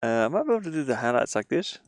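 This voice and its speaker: A man's voice: mid-range voice, very animated, racing, no echo, clean studio sound. A man from the United States chatting casually on a live game stream, rambling and muttering to himself as he works.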